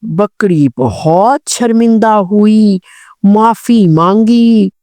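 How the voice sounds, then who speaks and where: A woman's voice: medium pitch, drawn-out words, dark tone, dry recording. A woman narrating a scripted children's story, speaking expressively and addressing young listeners directly.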